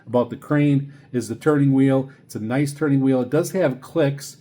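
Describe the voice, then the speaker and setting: An older man giving a casual, unscripted product review, halting and rambling as he repeats words. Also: mid-range voice, dark tone. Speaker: radio reviewer presenting a video series.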